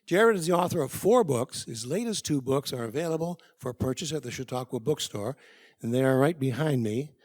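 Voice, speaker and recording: A man's voice: medium pitch, expressive, brisk, darkish tone. A man hosting an event, introducing the guest speaker informally with frequent fillers.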